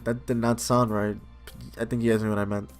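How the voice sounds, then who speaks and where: A man's voice: medium pitch, little pitch movement, a little fast. Guy doing a laid-back game playthrough, commenting and reading out text, bit of music behind. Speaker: game video creator. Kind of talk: casual let's play commentary and read-aloud narration.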